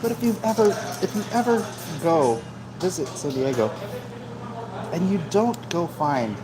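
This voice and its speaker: A man's voice: high voice, sing-song, unhurried, heavy background noise. A man chatting casually to a livestream while he eats, talking between bites with savoring hums. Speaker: livestream host. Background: music.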